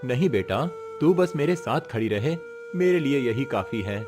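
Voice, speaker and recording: A man's voice: medium pitch, expressive, moderate pace, a little mellow, soft background music, lightly acted. A man acting a poor, weary but resolute village father, earnest and comforting, in a cartoon moral story. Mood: solemn, reassuring.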